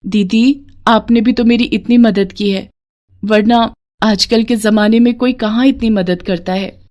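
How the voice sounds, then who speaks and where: Woman voicing a gentle, earnest wronged wife. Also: a little high, fairly even intonation, a little slow, close to an everyday voice. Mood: grateful. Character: gentle, hard-working wronged wife, pleading and tearful. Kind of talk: dramatized Hindi moral story.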